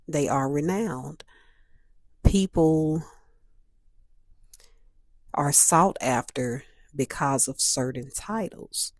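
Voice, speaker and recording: A woman hosting a solo talk, a little stuffy and hoarse from a cold, speaking in a measured way that repeats and restates her points. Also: sits low, a little mellow, no echo, studio quality. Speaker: host.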